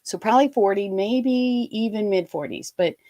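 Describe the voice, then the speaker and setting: A woman in her fifties from Ohio, a guest telling her life story in an interview, animated and full of quoted asides. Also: mid-range voice, moderate pace. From Ohio, USA.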